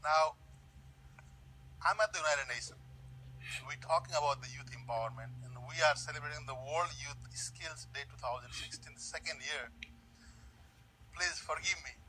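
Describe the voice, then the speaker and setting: A man's voice: fairly high pitch, moderate pace, pauses often, sharp tone, slight background noise. A man from Nepal, asking an earnest, pleading question from the floor of a large conference hall and repeating his key words. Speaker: audience member asking a question. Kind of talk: public question at a panel.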